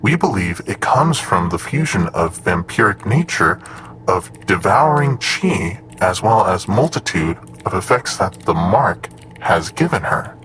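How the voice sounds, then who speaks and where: A low male voice with lively intonation, as a precise, unflappable android assistant in an audio drama. The delivery is formal and measured, with clear noise and typing behind it. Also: heavily acted, poor audio. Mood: matter-of-fact. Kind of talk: audio drama acting, report reading.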